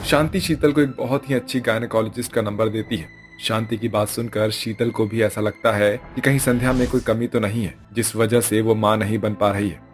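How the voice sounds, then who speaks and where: A man's voice: on the low side, fairly even intonation, brisk, soft background music. A man giving scripted narration for a cartoon story.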